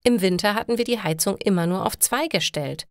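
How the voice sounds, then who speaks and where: A woman's voice: mid-range voice, brisk, no echo, studio quality, natural voice. A woman voicing an irritable, put-upon young man in an audio story, polite but firm and plainly protesting. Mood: defensive.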